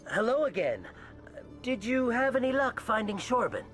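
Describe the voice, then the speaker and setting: A nervous alien scientist from a video game, paranoid and pleading. The delivery is animated and swings widely in pitch, hesitating as it complains. Music plays clearly behind the voice. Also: male voice, normal speed, heavily acted. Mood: flustered, sheepish.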